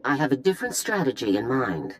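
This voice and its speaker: A woman's voice: extremely low pitch, very animated, a little fast, slight background noise. A woman voicing a stern, pragmatic middle-aged general in a video game, speaking in a clipped, authoritative way. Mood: firm, commanding.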